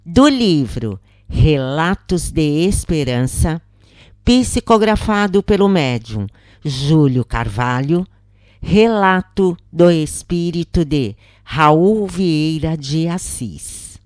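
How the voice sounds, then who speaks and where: A Brazilian woman reading a scripted story as a narrator, in measured, deliberate phrases with pauses. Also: sits low, lively intonation, a little mellow, no room sound, studio quality. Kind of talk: scripted audiobook-style narration of a spiritist account.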